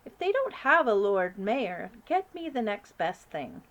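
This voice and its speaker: A woman voicing a commanding, brisk queen who speaks in a crisp, imperious way. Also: mid-range voice, very animated, a bit bright, highs cut off.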